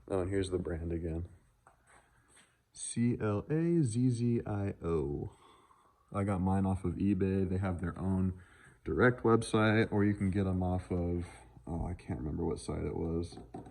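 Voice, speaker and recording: Man, low-pitched voice, tired, casual vlogger, unscripted, crude offhand joking. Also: darkish tone. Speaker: vlogger showing truck upgrades. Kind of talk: casual unscripted walkaround vlog.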